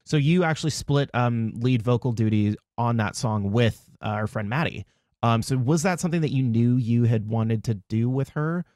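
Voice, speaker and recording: A man's voice: mid-range voice, normal speed, a little mellow, dry recording, studio quality. A young man hosting an interview, asking questions and recalling stories in a chatty, friendly way full of fillers. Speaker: interviewer host. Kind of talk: casual interview conversation.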